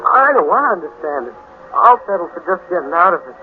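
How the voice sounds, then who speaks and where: Excitable, high-voiced American boy sidekick. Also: quick pace. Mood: nervous awe. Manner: wide-eyed, exclamatory, repeats himself when nervous. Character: excitable, anxious teenage boy sidekick in space. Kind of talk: 1950s radio drama acting. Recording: noisy, tinny 1950s radio recording.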